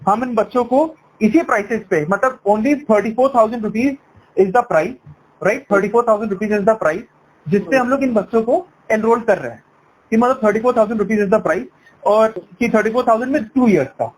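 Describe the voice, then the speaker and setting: High-pitched man making a persuasive sales pitch. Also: measured pace. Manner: persuasive, explanatory, frequent "right?" tag questions; switches between Hindi and English. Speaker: education sales counselor. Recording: noisy sales call recording.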